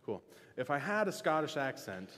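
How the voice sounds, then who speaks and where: A male pastor giving a casual, joking sermon, often restarting and filling pauses with "um". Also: fairly high pitch. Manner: relaxed, joking, halting with fillers. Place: church sanctuary. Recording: long reverb of a church hall.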